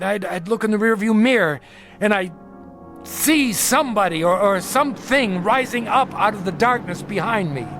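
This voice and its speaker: An actor in a radio drama playing a haunted, sleepless patient who talks in a halting, edgy, confiding way, afraid of his own imagination. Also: high-pitched, fairly bright tone. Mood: dread, recollecting. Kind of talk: audio drama acting.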